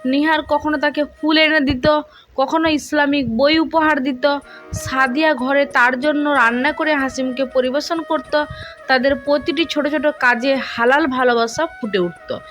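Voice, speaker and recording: A woman's voice: high voice, steady tone, a little slow, a bit bright, soft background music. A woman storyteller reading a scripted romantic tale.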